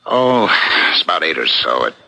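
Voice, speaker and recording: An actor playing a grave, insistent attorney and husband in a 1970s radio drama. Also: male voice, extremely low pitch, sing-song, normal speed, gravelly, bright, forceful tone, exaggerated voice, rough recording. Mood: somber. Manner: emphatic, insistent.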